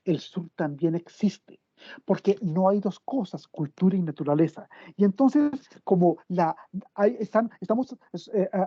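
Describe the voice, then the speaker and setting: A man giving an academic lecture over a video call, halting and full of fillers and restarts, often asking the listener for agreement. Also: high-pitched, dark tone, slightly rough, no echo, muffled recording. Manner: addresses listener directly with tag questions.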